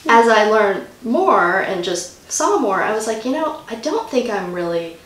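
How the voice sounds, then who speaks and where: A woman's voice: mid-range voice, very animated, measured pace, a bit bright. A middle-aged woman painter from the Houston area, a guest talking candidly and chattily, with a self-deprecating manner. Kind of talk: casual interview chat. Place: art gallery, indoors. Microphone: far camera. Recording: echoey room from a distance, music behind.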